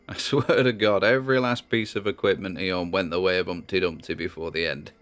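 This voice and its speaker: A man acts out a troubled British grandson speaking into his audio diary. His voice is low-pitched, and he talks in a halting, conversational way, as if confiding privately. It is a monologue from an audio drama. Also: fairly even intonation, a bit bright. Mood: wistful, reflective.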